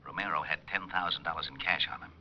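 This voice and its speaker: Cagey homicide cop on the phone, real deep, talks fast, dry, old radio drama. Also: male voice. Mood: guarded, dry. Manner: guarded, terse, dry. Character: cagey, dry male homicide police officer. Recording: dull old radio, quiet telephone voice.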